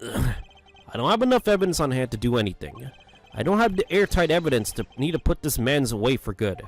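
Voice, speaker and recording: A performer voicing a stern, formal male prosecutor in a fan dub of a game, measured and dry. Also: medium pitch, big pitch swings, soft background music.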